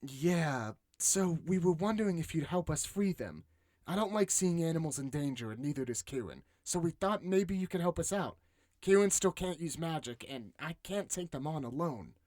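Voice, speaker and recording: A man's voice: fairly high pitch, lively intonation, normal speed, a little mellow, no echo, studio quality, close to an everyday voice. A man acting a young swordsman in a fantasy audiobook, protective and caring with a short fuse. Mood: reluctant, earnest. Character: young male swordsman, protective boyfriend, exasperated but caring.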